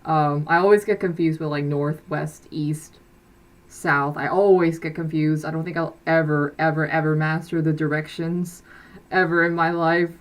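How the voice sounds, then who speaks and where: A woman's voice: deep voice, fairly even intonation, moderate pace. A young woman from South Korea, a native Korean speaker, chatting casually on a live stream from her bedroom, rambling with many fillers and restarts. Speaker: livestream host. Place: bedroom with blackout curtain.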